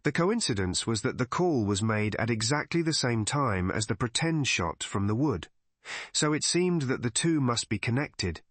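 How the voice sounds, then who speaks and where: A shrewd, elderly lady sleuth of a cozy mystery audiobook, played by a male narrator. The delivery is calm, measured and methodical, laying out each deduction step by step. The sound is close and dry. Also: medium pitch, dry recording. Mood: calm explaining. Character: shrewd, calm elderly woman amateur sleuth explaining a murder.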